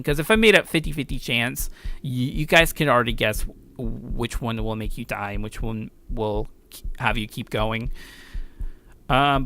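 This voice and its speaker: A man's voice: medium pitch, sharp tone. A man giving casual, slangy commentary as a game developer playing through his own game. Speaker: video creator and game developer.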